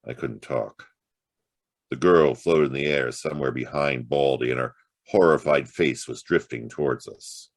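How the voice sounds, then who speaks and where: A hardboiled noir private eye, super low voice, wry and clipped, dry close sound, radio play style. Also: male voice, moderate pace, no room sound. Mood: grim, tense. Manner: wry, clipped tough-guy talk with slangy quips. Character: hard-boiled male private detective, world-weary, wisecracking. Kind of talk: noir radio-play acting and first-person narration.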